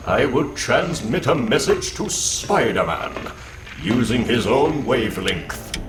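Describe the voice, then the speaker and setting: A man voicing an arrogant, scheming supervillain in a comic book dramatic reading, pompous, theatrical and cold. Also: medium pitch. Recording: roomy echo under loud background music.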